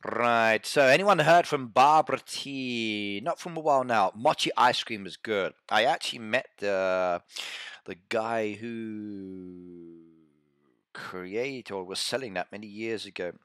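A man's voice: on the low side, slow, a bit bright, clean tone, dry recording, studio quality. A middle-aged British man with a British accent streaming a mobile game live, chatting through a headset mic and reading out viewers' messages. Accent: British English. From Britain. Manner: chatty, reads out chat and answers viewers. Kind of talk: casual live-stream commentary.

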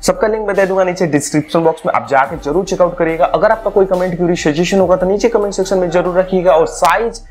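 A man's voice: high-pitched, quick pace, hardly any pauses, darkish tone, soft background music. A young man chatting about gym gear in a casual review vlog, speaking persuasively into a lapel mic in a small room. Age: young adult. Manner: chatty and persuasive, mixing English words into his talk. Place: small indoor room, seated on a sofa.

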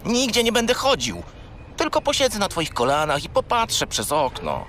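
Cheeky small furry chatterbox for children's audio drama: whiny, rambling, very animated, sharp-edged. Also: male voice, high-pitched, normal speed, a little hiss or hum, exaggerated voice. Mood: whiny, pleading. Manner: rambling, naively evasive, whiny. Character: naughty, chatty, cheeky little furry toy companion. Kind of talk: children's radio play acting.

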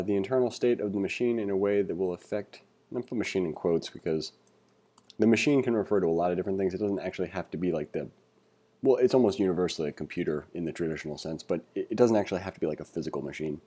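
A man giving a read-along coding tutorial, reading aloud and thinking out loud with informal asides. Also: lowish voice. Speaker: instructor.

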